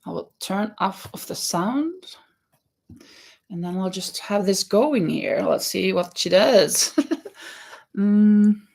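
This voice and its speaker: A woman's voice: medium pitch, lively intonation, slow, gravelly, pauses often, darkish tone. A woman from Norway hosting a crochet livestream from her home craft room, reading out viewer comments and counting stitches aloud with easy laughs. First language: Norwegian. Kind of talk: casual live chat while crocheting.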